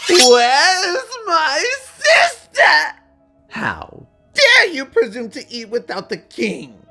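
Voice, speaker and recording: Unhinged young prince, high shrill voice, wildly swooping, cruel and whining, fantasy audiobook drama. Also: huge pitch swings, drawn-out words, sharp tone, faint music behind, exaggerated voice. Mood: taunting, manic. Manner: drunken shrieking, sneering, theatrical.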